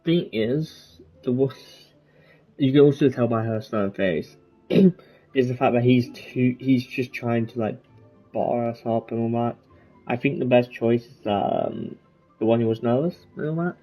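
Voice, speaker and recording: A man giving casual, halting, rambling commentary as he plays through a game. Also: mid-range voice, dark tone. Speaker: game streamer. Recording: muffled lo-fi mic, game music behind.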